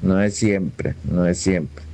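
A man's voice: sits low, slow pace, mellow, slight background noise. A man in his forties calling a radio show, speaking politely and hesitantly. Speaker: caller. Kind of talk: phone call to a radio show.

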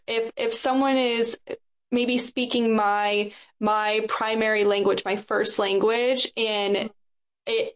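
A woman hosting a radio interview, measured and affirming as she reflects back and poses questions. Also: mid-range voice. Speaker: radio show host.

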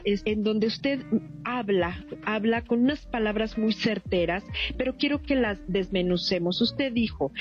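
A woman hosting a radio interview, formal and respectful, putting in a filler "eh" now and then. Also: mid-range voice. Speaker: radio host. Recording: dull studio sound, faint music.

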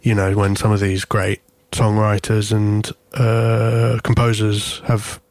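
A man's voice: lowish voice, monotone, takes it slowly, darkish tone, no room sound. A man in his thirties from Corfu with a lightly Greek-tinged English accent, giving reflective interview answers and often searching for words. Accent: English with Greek influence. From Greece (Corfu), English mother. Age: 30s. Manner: thoughtful, searching for words, many restarts and fillers. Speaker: interview guest, singer.

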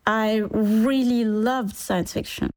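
A woman's voice: a little high, takes it slowly. A woman in her forties from Sri Lanka, telling her story in an interview, laughing often and acting out what people said. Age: 40s. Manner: laughs often, animated, quotes people in little voices. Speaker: interview guest, engineer and founder. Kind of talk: conversational storytelling.

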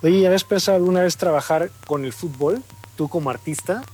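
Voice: mid-range voice, brisk, dark tone, slightly rough, soft background music. A radio co-host introducing and welcoming a guest in a friendly manner. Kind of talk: radio interview.

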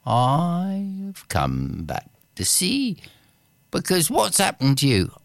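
Man voicing a storybook king of cats, gruff, commanding, low gravelly voice, slow. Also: wildly swooping pitch, no echo, clean studio sound, full character voice. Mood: scolding. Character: wily old man turned king of cats.

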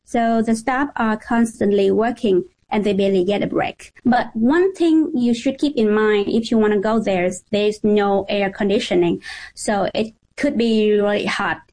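A woman's voice: medium pitch, moderate pace, a little mellow, rough recording. A woman from Vietnam with a Vietnamese accent, a journalist speaking conversationally as a radio interview guest.

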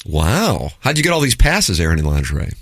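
A male radio co-host with a lively, up-and-down delivery, teasing and wisecracking with a caller. He talks into a studio mic, and the sound is dry and close. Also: low-pitched, a little fast, dry recording. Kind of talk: casual radio talk.

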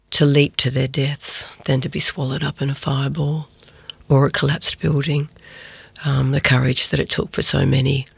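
A woman's voice: rumbling low, creaky, husky, no room sound. A woman journalist answering interview questions in a subdued, reflective way. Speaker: journalist guest.

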